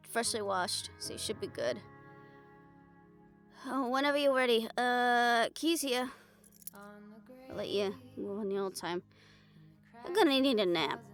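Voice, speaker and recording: A woman playing a wry, affectionate, bossy girlfriend in an improvised, intimate audio roleplay, talking casually with slangy teasing and swearing. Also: fairly high pitch. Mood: tired, tender. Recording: music behind the voice.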